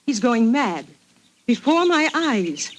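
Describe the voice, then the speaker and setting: A woman's voice: a little high, big pitch swings, slow pace, slight background noise. A woman playing a clever, earnest Englishwoman of science, forthright and precise, with a British accent in 1940s radio style. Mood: grave, troubled.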